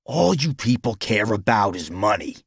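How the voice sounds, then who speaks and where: A man voicing a bitter, defeated businessman, resentful and accusing, in a gravelly, husky voice for an audio story. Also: fairly low pitch, very animated, normal speed, no room sound, studio quality, full character voice.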